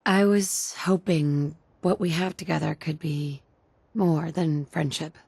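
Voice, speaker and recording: Game lead: calm female survivor, breathy low register, gentle, close dry recording. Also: slow pace, dry recording, heavily acted. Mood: tender. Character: calm, caring female wasteland survivor, player heroine. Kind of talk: video game dialogue acting.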